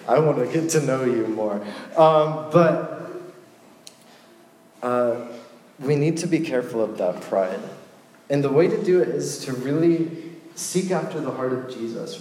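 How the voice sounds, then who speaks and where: A young man preaching to a youth group in a casual, joking way, with lively asides. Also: medium pitch, a little hiss or hum. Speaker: youth pastor. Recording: echoey hall with faint ambience.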